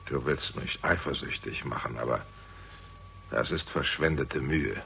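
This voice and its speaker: A grim avenger from a radio crime play, with an extremely deep, creaky voice. He speaks in terse, deliberate phrases, cold and resolute in his threat. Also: sharp tone, faint music behind, heavily acted. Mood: grim, controlled. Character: grim, resolute male avenger holding a rival at gunpoint. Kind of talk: 1960s German radio crime drama acting.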